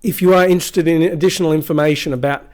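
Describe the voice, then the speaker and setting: Croaky-voiced man reading crypto news. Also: on the high side, steady tone, racing, a little mellow. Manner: reads quotes aloud with frequent restarts and asides. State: slightly croaky voice.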